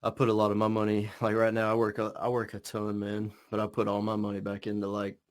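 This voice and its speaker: A man's voice: on the low side, completely flat delivery, fast talker. A man hosting a podcast, chatting in a laid-back, slangy way. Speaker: podcast host. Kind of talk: casual interview.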